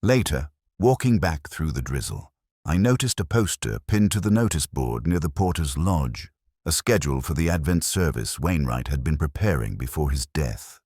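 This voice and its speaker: A man narrating a scripted first-person detective story in a measured, formal, literary manner. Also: very deep voice, very animated, a little mellow, breathy edge, dry recording, clean studio sound.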